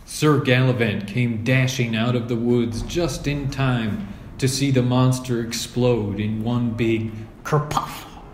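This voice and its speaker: Guy reading a kids storybook out loud, slow, kinda echoey room. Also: medium pitch, steady tone, a little hiss or hum. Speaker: storybook reader. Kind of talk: scripted children's story narration, read aloud. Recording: roomy echo.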